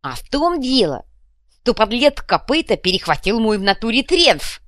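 Woman playing a loud, pompous male savage chieftain. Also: a little high, very animated, normal speed, no room sound, clean studio sound, voice pushed up. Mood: boastful. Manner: crude slangy speech, pompous and indignant. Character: loud, self-important, dim one-eyed male savage gang leader. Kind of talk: audiobook character voice.

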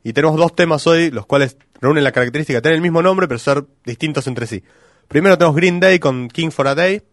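A man's voice: fairly high pitch, fast talker, dry recording, clean studio sound. A man with a Rioplatense Argentine accent presenting a comedy radio show in a scripted announcing style.

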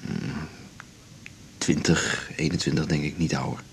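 An actor in a radio drama playing a guilty, evasive cello teacher, halting and conciliatory, over a noisy recording. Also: male voice, rumbling low, fairly even intonation, gravelly, full character voice. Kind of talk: naturalistic 1980s radio drama acting.

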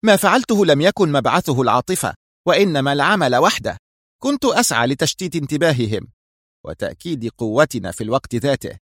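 A tense female spy from a thriller audiobook, as a male narrator puts her on. The voice is raised high and argues back sharply, with a defiant, nervy edge. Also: very animated, fast talker, fairly bright tone, no echo, clean studio sound. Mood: irritated, defensive.

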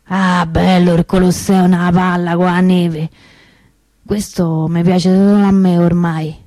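An actress playing a chatty, cynical working-class souvenir hawker with a Roman accent in a radio monologue. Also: female voice, on the low side, little pitch movement, measured pace, mellow, no echo, clean studio sound, natural voice. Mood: wistful chatter. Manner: rambling street storyteller, wry, colloquial. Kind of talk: colloquial theatrical monologue for radio.

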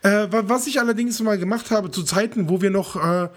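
Podcast co-host, man, high voice, hoarse and nasal, rambling crude jokes. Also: brisk. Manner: joking, rambling, crude humor. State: hoarse and stuffed nose. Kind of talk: casual chat.

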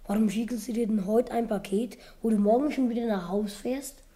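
A woman's voice: on the high side, fairly even intonation, brisk, warm tone, close to an everyday voice. A woman playing a cheerful, boisterous schoolboy with a big appetite in a 1950s radio play. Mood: chummy. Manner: brash, chummy, boisterous. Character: cheerful hearty hungry schoolboy. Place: radio studio.

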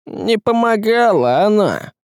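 A man voicing a stern, reproachful woman in a storybook, severe and deliberate in manner. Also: high voice, very animated, no echo, clean studio sound, pitched above the performer's own voice, heavily acted. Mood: stern, accusing. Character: stern accusing woman revealing a dark secret.

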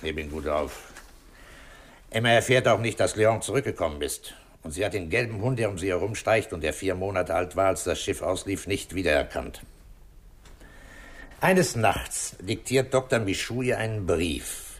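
An actor playing a calm, authoritative police inspector in a 1960s German radio crime drama, measured and commanding as he probes. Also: sits low. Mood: measured summing-up.

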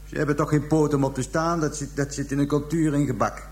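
An actor playing a callous pimp making a halting, coarse confession to police in a radio drama. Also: male voice, on the high side, fairly even intonation, close to an everyday voice. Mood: callous, scornful. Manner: halting, hesitant storytelling, coarse slang. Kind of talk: radio drama acting, interrogation scene.

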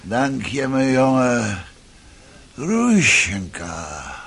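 A man playing a lecherous, confused and irritable old father in a radio play, croaky and halting as he longs for his sweetheart. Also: medium pitch, huge pitch swings, a bit bright, full character voice. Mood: weak, pining. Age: older. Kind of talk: Dutch radio play acting.

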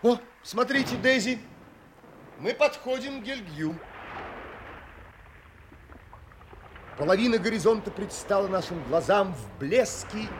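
An actor playing a reserved, romantic sea traveller in an audio drama, thoughtful and theatrical. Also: male voice, sits high. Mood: excited, marvelling. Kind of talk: audio drama acting and narration.

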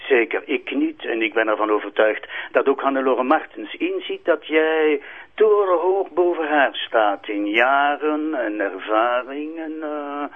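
An actor playing a smooth senior magistrate with a Flemish accent, soothing, diplomatic and patronising, heard through a telephone filter. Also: male voice, mid-range voice, animated, a little slow. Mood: placating. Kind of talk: audio drama acting. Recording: thin, phone-like band.

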